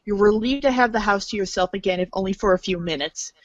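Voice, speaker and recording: Female narrator, storybook-style scripted read, theatrical, mid-pitched, slightly lo-fi. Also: quick pace, no room sound.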